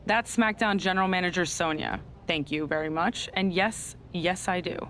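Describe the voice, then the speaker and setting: Woman doing smug scheming lady wrestling gm, lowish voice, condescending, video game cutscene, bit of noise behind. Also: normal speed, fairly bright tone, close to an everyday voice. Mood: smug gloating. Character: smug scheming female wrestling general manager.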